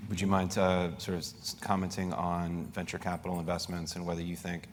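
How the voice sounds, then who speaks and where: A man moderates a conference panel and asks his questions in a hesitant, hedging way. His voice is very deep and almost completely flat. The room gives a long reverb with faint hiss. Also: brisk. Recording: reverberant hall with faint noise.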